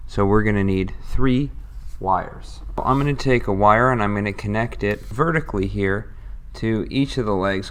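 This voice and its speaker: A man's voice: on the low side, a bit bright. A man teaching a step-by-step electronics tutorial, explaining patiently and thinking aloud as he works. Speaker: electronics instructor.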